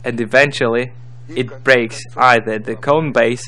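A man's voice: mid-range voice, steady tone, moderate pace, pushed and bright. A man giving scripted, step-by-step instructional narration as the narrator of a tutorial.

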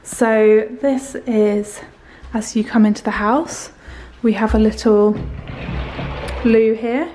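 A woman's voice: fairly high pitch, fairly even intonation, takes it slowly, pauses often, mellow, a little hiss or hum, low-quality recording. A young woman in her twenties, chatting casually as she films a tour of a rented house with a handheld camera. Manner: chatty, upbeat, rambling with fillers. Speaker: vlogger. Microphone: handheld camera at arm's length.

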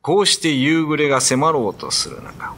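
A man's voice: a little high, very animated, normal speed, a bit bright, slight background noise. A man narrating a scripted ghost story as a dramatic storyteller. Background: background music.

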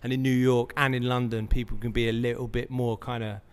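A man's voice: medium pitch, little pitch movement, brisk, clean tone, long reverb tail. A man hosting a podcast interview, asking casual questions full of fillers. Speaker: podcast host. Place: large bright studio, indoors. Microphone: stand mic.

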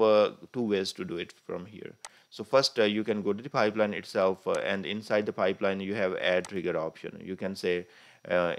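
Low-voiced man talking through a software tutorial. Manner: frequent "uh" fillers, step-by-step explaining. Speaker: tutorial instructor. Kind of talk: screen-recorded software tutorial. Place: voice-over recorded over the screen capture.

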